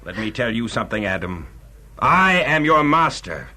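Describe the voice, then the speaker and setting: An actor playing a mocking, power-hungry Satan in a religious radio drama, theatrical and domineering. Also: male voice, medium pitch, sing-song, moderate pace, bright, forceful tone. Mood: gloating, commanding. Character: gloating, power-hungry male Satan tempter, mocking and imperious.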